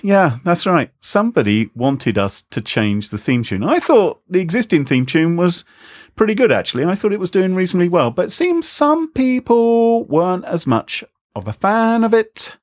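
A man's voice: high voice, big pitch swings, moderate pace, darkish tone, dry recording, studio quality. A man hosting a casual podcast, joking, rambling and mocking himself. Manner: jokey, rambling, self-mocking. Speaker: podcast host.

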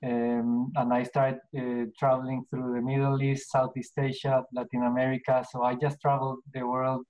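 A middle-aged man from Colombia with a Colombian Spanish accent, answering interview questions in a casual, halting way with many restarts and fillers. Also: mid-range voice, completely flat delivery, darkish tone, rough recording. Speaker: podcast guest, business owner.

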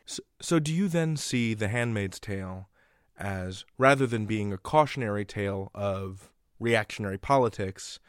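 A man hosting an interview, putting deliberate, carefully phrased questions in a conversational way. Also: lowish voice, no room sound, clean studio sound. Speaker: interviewer, host.

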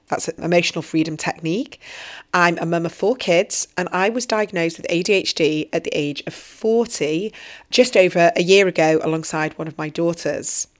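A woman in her forties speaks in a slightly low, hoarse voice. She is earnest and reflective as she hosts a solo podcast episode. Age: 40s. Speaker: podcast host and wellbeing coach. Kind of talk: solo podcast trailer monologue.

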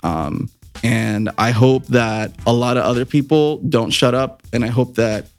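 A man's voice: medium pitch, unhurried, soft background music. Laughing, swearing male podcast co-host. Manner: laughs often, swears, earnest when serious. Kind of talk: casual chat.

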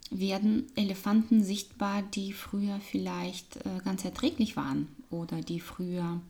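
A woman from Russia with a Russian accent, a business coach talking as a podcast guest, thoughtful and soft-spoken, sometimes dropping to near-whispers. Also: mid-range voice, level tone, unhurried, gravelly. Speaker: business trainer, change consultant and coach.

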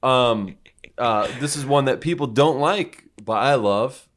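A man's voice: medium pitch, unhurried, crowd noise. A man co-hosting a casual podcast chat, teasing and joking with his guests. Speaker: podcast co-host.

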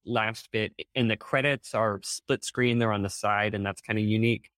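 Excitable podcast co-host, man. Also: normal speed, dry recording, clean studio sound. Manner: excitable, jumps up in pitch when outraged; many fillers and restarts. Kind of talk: casual chat about a TV episode.

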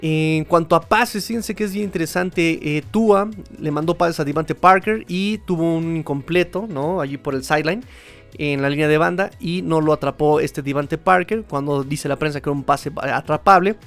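Casting: Mexican male sports podcaster, fairly high voice, informal solo commentary with colloquial, chatty delivery. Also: moderate pace, a bit bright, faint music behind.

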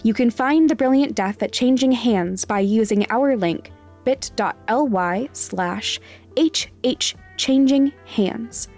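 A woman's voice: fairly high pitch, takes it slowly, a little mellow, faint music behind. A woman hosting a podcast, reading scripted announcements aloud. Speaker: podcast creator and host.

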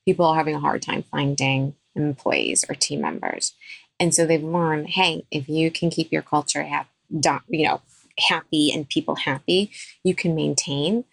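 A woman dentist speaking as a podcast guest, with a somewhat low voice. She talks in an emphatic, advice-giving way. The sound is dry and close, with no room echo. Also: expressive, moderate pace, gravelly. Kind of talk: podcast interview.

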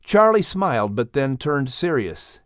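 Guy reading a kids story, mid voice, expressive scripted narration, no room sound at all. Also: mid-range voice, a little slow, smooth, no room sound, clean studio sound. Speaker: audiobook narrator.

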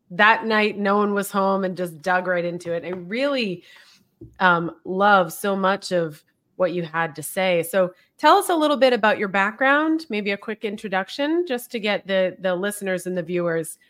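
A woman's voice: mid-range voice, normal speed. A woman hosting an interview podcast, talking upbeat and effusive in a casual way. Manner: upbeat, effusive, warm thanks. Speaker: podcast host.

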